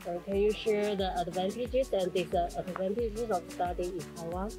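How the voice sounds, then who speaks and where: A woman's voice: mid-range voice, moderate pace, over music. A woman asking an interviewee short, plain questions off camera.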